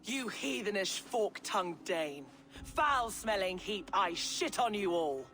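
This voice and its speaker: A woman's voice: medium pitch, animated, takes it slowly, fairly bright tone, faint music behind. An actress playing a haughty, vengeful Saxon noblewoman in a video game, scornful, commanding and foul-mouthed.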